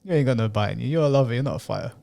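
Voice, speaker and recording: A young man talking in a relaxed, joking way, needling the friend beside him between laughs. His voice sits at an ordinary middle pitch for a man, and his delivery stays unhurried and conversational. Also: gravelly. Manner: laid-back, teasing, laughs often. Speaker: co-host of a comedy channel. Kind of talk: casual bickering chat to camera.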